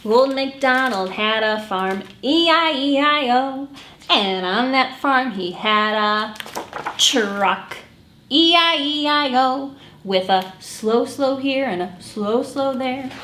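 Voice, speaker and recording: A woman hosting a children's story time, reading and singing picture books in an animated way and acting out the sounds. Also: high voice, slow, reverberant, slight background noise. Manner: animated, acts out animal sounds and song refrains. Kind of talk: sung picture-book read-along for children.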